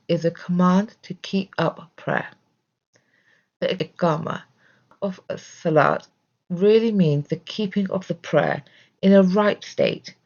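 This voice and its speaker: A woman narrates a scripted religious study. Her voice sits on the low side and often creaks into fry. The recording is dull and lo-fi. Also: darkish tone. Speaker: reader of religious study text.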